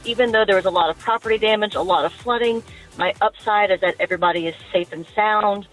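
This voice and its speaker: A woman's voice: medium pitch, fast, soft background music, poor audio. A woman from Alabama leaving a casual personal message as a listener of a show. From Alabama, United States.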